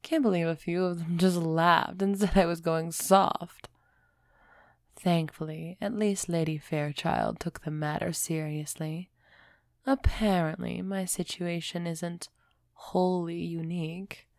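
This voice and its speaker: A woman playing an aloof, aristocratic vampire who is softening toward a human, in a measured, musing audio roleplay performance. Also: lowish voice, no room sound, clean studio sound. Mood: pensive. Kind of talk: scripted audio roleplay acting, close and soft.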